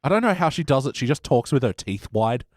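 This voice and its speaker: A man's voice: mid-range voice, sing-song, fast, no echo, studio quality. A man co-hosting a podcast, giving opinions in a casual, wry and sweary way. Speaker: podcast co-host. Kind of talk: casual chat recapping a TV show.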